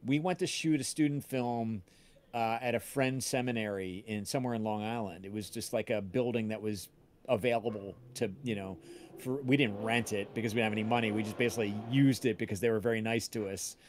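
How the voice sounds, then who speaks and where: A man chatting casually on a livestream, telling anecdotes in a halting, searching way with false starts. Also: lowish voice, steady tone. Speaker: podcast cast member on a livestream. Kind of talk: casual chat, rambling anecdote.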